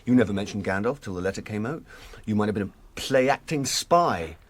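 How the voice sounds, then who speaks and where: A man's voice: on the low side, expressive, a little fast. A man playing a stout, suspicious hobbit servant in a radio drama, speaking bluntly and challengingly. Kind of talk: classic radio drama acting.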